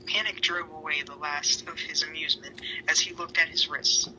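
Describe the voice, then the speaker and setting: A woman's voice: deep voice, fairly even intonation, normal speed, sharp tone. A woman reading a story aloud in an amateur dramatic style, with sweary, self-mocking asides. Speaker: fan fiction reader. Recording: noisy home recording.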